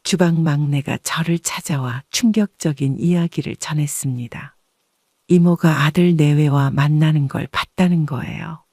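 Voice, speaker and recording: A woman reading a first-person story as an audiobook narrator in a measured, composed way. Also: low-pitched, warm tone, no room sound, clean studio sound.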